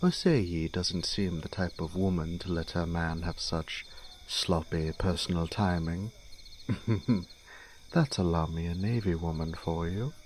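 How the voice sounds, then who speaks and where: A man's voice: low voice, takes it slowly, darkish tone, slight background noise. A man playing a teasing young nobleman in a fantasy audio drama, speaking in a formal, archly polite manner. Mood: amused, chatty. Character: teasing, urbane young nobleman, elder brother in fantasy court.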